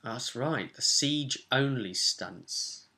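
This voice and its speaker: A man's voice: mid-range voice, expressive, extremely slow, vocal fry, bright, forceful tone. A man in his twenties chatting casually as host and game master about a tabletop game session, recorded indoors on a webcam. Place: small home room, indoors. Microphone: webcam at arm's length.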